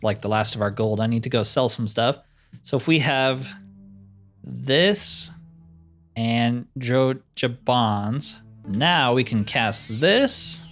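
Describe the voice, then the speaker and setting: A man's voice: medium pitch, a bit bright. A man giving casual game commentary, thinking aloud in an easygoing way. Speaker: gamer narrating own roleplay playthrough.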